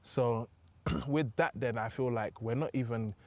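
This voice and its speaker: A young man from England with a British accent, talking as a guest in a relaxed, conversational interview, often adding "do you know what I mean". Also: medium pitch, measured pace, no echo. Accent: British English. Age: young adult.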